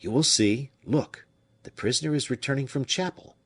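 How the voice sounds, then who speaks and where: A man's voice: mid-range voice, moderate pace, slightly rough, voice pushed up. A man reading an audiobook, voicing a blunt, shrewd male musketeer captain who speaks frankly and with animation. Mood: brisk.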